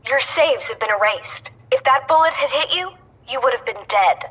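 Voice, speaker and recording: Game ally: urgent businesslike hacker, bright forceful tone, husky radio-filtered edge. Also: female voice, high voice, lively intonation, heavily acted, rough recording. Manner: brisk, businesslike. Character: urgent, brisk young female computer hacker ally. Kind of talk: video game voice acting.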